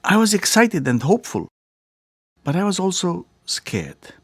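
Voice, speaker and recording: A man reading a picture book aloud as a storyteller, with deliberate phrasing, frequent pauses and emphatic swells. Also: sits high, wildly swooping pitch, breathy edge, dry recording. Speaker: read-aloud narrator.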